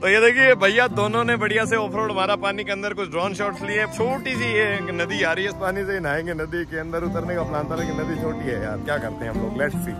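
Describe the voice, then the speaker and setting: A man's voice: high voice, fairly even intonation, brisk, hardly any pauses, over loud music. A man in his thirties vlogging casually outdoors, chatting straight to viewers while holding the camera at arm's length. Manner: chatty, addresses viewers directly. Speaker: travel vlogger. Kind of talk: casual vlog narration. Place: outdoors by a car camp and roadside. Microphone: handheld camera at arm's length.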